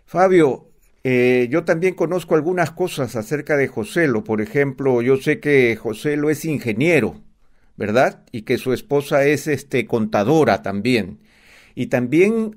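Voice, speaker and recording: A man co-hosting a podcast, chatting in a friendly, teasing way with frequent hesitations. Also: mid-range voice. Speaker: podcast co-host.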